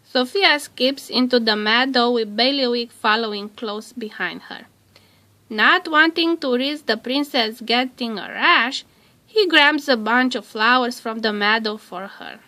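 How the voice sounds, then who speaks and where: Casting: female storybook narrator, bright tone, unhurried and measured delivery. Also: on the high side. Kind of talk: scripted children's storybook read-aloud.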